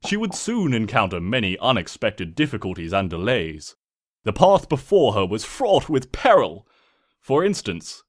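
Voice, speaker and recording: A man voicing a smug, mischievous trickster spirit who narrates a comic storybook in a pompous, sardonic, theatrical way. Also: medium pitch. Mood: gleeful, mocking.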